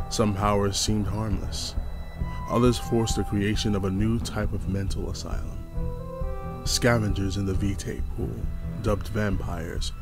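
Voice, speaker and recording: Low-pitched man narrating solemnly over music. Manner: solemn, measured, deliberate phrasing. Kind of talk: dramatic scripted narration of a dystopian fantasy intro. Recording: music behind the voice. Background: background music.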